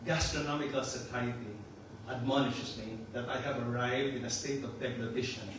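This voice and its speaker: A man's voice: medium pitch, fairly even intonation, moderate pace. Older Filipino-accented male pastor, chatty and joking, distant mic in a roomy hall. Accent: Filipino with some Australian. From Philippines. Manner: joking and chatty, laughs often. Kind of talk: casual sermon. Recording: echoey far-off room mic with noise.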